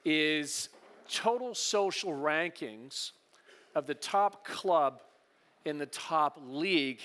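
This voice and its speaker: A man giving a business presentation at a conference, relaxed with joking asides and frequent fillers. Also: a little high, takes it slowly. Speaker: conference speaker, partnerships executive. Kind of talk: business presentation to a live audience. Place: conference hall. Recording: long hall reverb.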